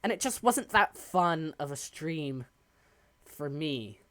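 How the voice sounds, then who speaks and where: A young man with a hoarse, raspy voice chats through a live stream at his home desk. He talks slowly and haltingly, with plenty of filler sounds. The sound is dry and close. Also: a little high, lively intonation, bright, forceful tone, no echo. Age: young adult. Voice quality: raspy from coughing. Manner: rambling, halting, many ums. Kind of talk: casual live-stream chat while building.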